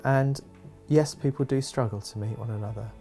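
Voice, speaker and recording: A man's voice: medium pitch, normal speed, mellow. A man who works with youth groups, describing his work as if answering an interview. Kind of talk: interview-style account of work for a promotional film. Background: background music.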